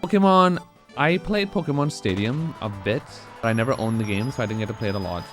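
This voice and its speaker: A man's voice: mid-range voice, lively intonation, fast, music in the background. A man in his twenties speedrunning on a live stream, rambling casually and answering chat between moves.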